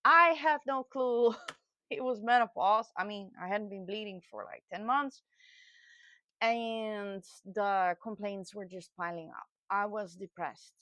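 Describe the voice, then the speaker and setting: A woman in her late forties from the Netherlands with a Dutch accent, telling her own experience casually and candidly, often pausing to search for words. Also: mid-range voice, sharp tone, no room sound. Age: late 40s. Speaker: vlogger sharing personal experience. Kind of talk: casual personal talk to camera.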